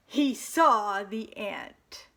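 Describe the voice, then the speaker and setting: A woman hosting storytime, reading a children's picture book aloud in an expressive, dramatic way for young listeners. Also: medium pitch, very slow, gravelly, a little hiss or hum.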